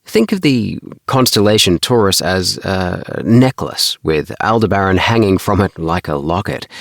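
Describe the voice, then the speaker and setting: A man's voice: low voice, dry recording. A troubled young man travelling in secret, played by a male reader. He speaks in an earnest, reserved way, close and dry, as a character in a science fiction audiobook.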